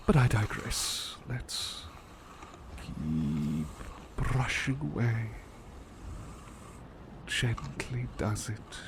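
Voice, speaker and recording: A gentle, doting male butler, acted by a man in an ASMR roleplay, speaking very slowly in a lowish voice with lively swoops. He is cheeky and a little hesitant, repeating soft phrases close to the mic. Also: noisy background. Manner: hesitant, repeats phrases soothingly, often drops to whispers. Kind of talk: ASMR personal attention roleplay.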